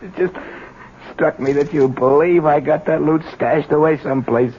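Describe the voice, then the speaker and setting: A man acting as a weary, evasive thief in a radio play. His voice is gravelly and a little high, protesting as he talks. The old broadcast sounds dull, with faint hiss. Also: moderate pace, lightly acted. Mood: protesting, anxious. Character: weary, evasive male ex-thief roused from sleep. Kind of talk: 1970s radio drama acting.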